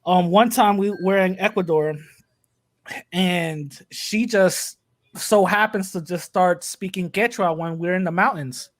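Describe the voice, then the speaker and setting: A young man talks in a loose, joking ramble full of fillers. His voice sits a little high. The sound is dry and close to the mic. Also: unhurried, dry recording. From New York City, USA. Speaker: podcast host, interviewee. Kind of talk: casual interview chat.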